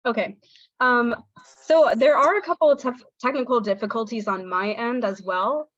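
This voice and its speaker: A young woman with a Southern US accent speaks in a fairly high voice, chatty and apologetic, with plenty of ums. Her sound is dull and lo-fi, and the video call audio is bone dry. She is presenting an online teaching workshop. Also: normal speed, a bit bright, no room sound. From Southern United States. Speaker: guest speaker, English teaching assistant. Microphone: video call on phone or computer.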